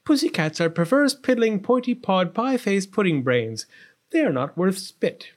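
A man's voice: sits high, animated, moderate pace, voice pushed up. A man voicing a vain, spoiled young storybook princess with a haughty, snappish manner. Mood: peevish.

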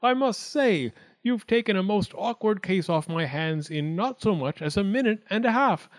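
Guy doing a self important wildcat judge from a kids story, high pitched, fussy n blustery, kinda nervous. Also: animated, moderate pace, no room sound. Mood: courteous, pompous. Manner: pompous, formal, emphatic.

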